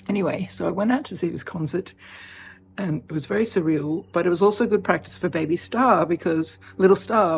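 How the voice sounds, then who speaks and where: Gravelly-voiced chatty woman in her fifties. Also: low voice, slight background noise. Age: 50s. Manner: chatty, animated, laughs often. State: heavily pregnant. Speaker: vlogger. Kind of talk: unscripted personal vlog.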